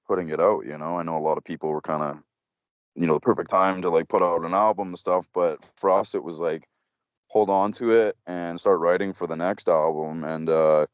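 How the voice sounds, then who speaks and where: A man's voice: smooth, no room sound, dull audio. A young man, a metal band vocalist, giving casual interview answers with lots of fillers and restarts. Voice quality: low voice. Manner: rambling, many fillers and restarts.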